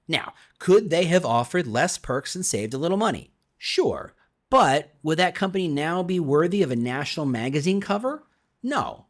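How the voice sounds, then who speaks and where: A man reading his own business book as scripted narration, with an emphatic, conversational delivery and dramatic pauses. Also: fairly high pitch, a bit bright.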